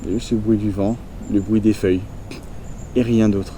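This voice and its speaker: A man's voice: fairly low pitch, normal speed, a little hiss or hum. A man with a stuffy nose from a cold, chatting casually and rambling as he walks in the countryside, filming on a handheld phone. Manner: chatty, relaxed, rambling asides. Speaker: vlogger on a nature walk. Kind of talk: casual walking vlog to camera. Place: outdoors in the countryside.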